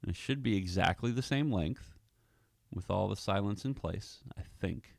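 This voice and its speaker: A man's voice: on the low side, moderate pace, creaky, no room sound, studio quality. A man giving a casual walkthrough of his audio drama editing, thinking aloud in fragments. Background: film score music.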